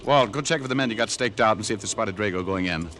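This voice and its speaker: An actor playing a cool, take-charge private detective in old radio drama, speaking and narrating in a clipped, deadpan way. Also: male voice, fairly low pitch, quick pace, very noisy, lightly acted. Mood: focused. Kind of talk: 1940s-50s radio detective acting and narration. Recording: vintage radio broadcast, music underneath.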